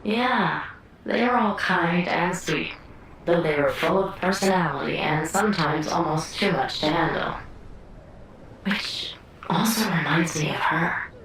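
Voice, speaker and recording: A woman voicing a cool, seasoned female fighter in a video game, brisk and commanding yet fond. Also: fairly low pitch, a bit bright, very echoey, slight background noise, rough recording. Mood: fond, wistful.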